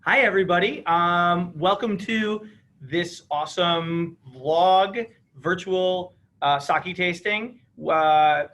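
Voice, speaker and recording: An eager man hosting on a video call, his voice bright and forward. He sits a bit higher than most men and fills his pauses with uhs and ums. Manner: enthusiastic, filler-heavy. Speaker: store owner hosting the event. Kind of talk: casual introduction.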